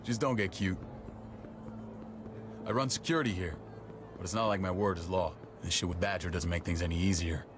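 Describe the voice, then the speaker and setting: An actor playing a gruff, commanding military man and protective older brother, blunt and profane, in a cinematic video game. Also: low voice, steady tone, fairly quick, long pauses, background noise, natural voice. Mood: stern, irritated. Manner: blunt, profane, clipped orders. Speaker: security chief of a survivor settlement.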